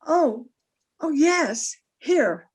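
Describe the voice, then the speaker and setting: A woman playing a high-voiced, slow-spoken mystery woman. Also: animated, a little hiss or hum, exaggerated voice. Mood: frightened. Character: mysterious, frightened, refined married woman. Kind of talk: old-time radio crime drama acting.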